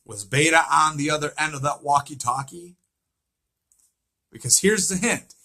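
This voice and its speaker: A man delivers informal fan commentary in a forceful, slightly high voice with a rough husky edge. He is emphatic and repetitive, leaning on rhetorical questions as he works through his recap. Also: moderate pace.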